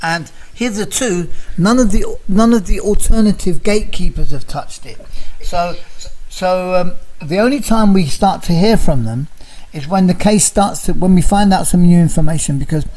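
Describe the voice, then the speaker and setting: Guest, man, 60s, high pitch, rambling and repetitive. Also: a little mellow, low-quality recording. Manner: talks over others, repeats phrases, addresses host by name. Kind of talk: casual interview chat.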